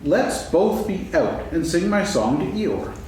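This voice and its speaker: Echoey, distant stage recording. Simple-hearted storybook bear played by a man, earnest and musing, highly animated delivery. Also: takes it slowly, a little hiss or hum, exaggerated voice. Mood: cheerful, earnest. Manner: earnest, musing, hums in singsong. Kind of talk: staged dramatic reading of a children's story. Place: stage before an audience, seated reading.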